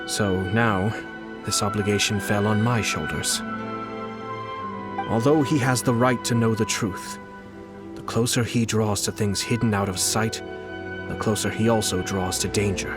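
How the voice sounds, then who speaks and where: A man playing a composed, calculating young nobleman in a video game, speaking in a measured, formal, deliberate way. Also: lowish voice, steady tone, natural voice. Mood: solemn, resolute. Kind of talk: video game character dialogue. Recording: music behind the voice.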